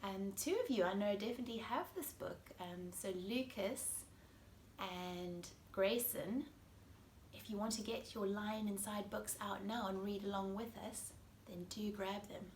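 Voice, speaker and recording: A woman's voice: mid-range voice, measured pace, a little mellow. A woman from South Africa with a South African accent reads a picture book aloud to children, expressively and addressing them directly. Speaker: children's story reader. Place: living room indoors. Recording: echoey room with background noise.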